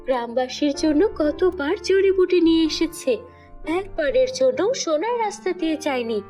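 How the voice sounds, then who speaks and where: A woman's voice: high-pitched, expressive, normal speed, dark tone, soft background music. A woman voicing a stern, righteous fairy in a children's cartoon, speaking in a solemn, scolding, declamatory way. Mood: stern reproach.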